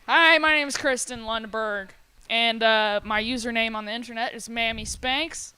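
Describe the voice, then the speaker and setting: A woman in her twenties, a stand-up comedian telling a self-mocking anecdote in a deadpan way during a casual panel chat. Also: a little high, moderate pace, clear, resonant tone, poor audio. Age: 20s.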